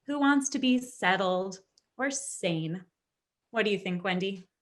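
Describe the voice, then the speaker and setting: Reassuring grown daughter played by a woman, mid-range voice, slow, halting, deliberate explanation, staged-reading drama. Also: medium pitch, expressive, close to an everyday voice. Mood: earnest, reflective. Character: reassuring adult daughter, a social worker, reasoning with father.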